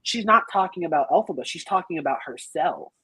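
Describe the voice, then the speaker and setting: A woman's voice: on the low side, animated, fairly quick, sharp tone, breathy edge, no room sound. A woman speaking casually and emphatically as a podcast panelist in a group review, explaining things in detail. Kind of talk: casual group chat, film and musical review.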